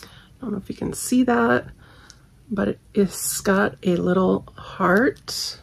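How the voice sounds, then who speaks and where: A husky woman in her forties who is losing her voice, with a gravelly catch under her words. She talks slowly and candidly, sprinkling in ums and small laughs as she reviews her purchases. Recorded at home in a bedroom on a camera set at desk distance. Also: mid-range voice. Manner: chatty, candid, frequent ums and little laughs. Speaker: haul vlogger. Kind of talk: casual product review chat.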